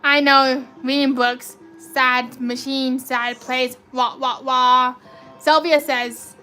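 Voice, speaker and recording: A woman with a robotic-sounding voice chatting excitedly through a live slot machine stream, reading and answering chat comments. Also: high-pitched, slow, faint music behind. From United States. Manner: chatty, excitable cheering at spins.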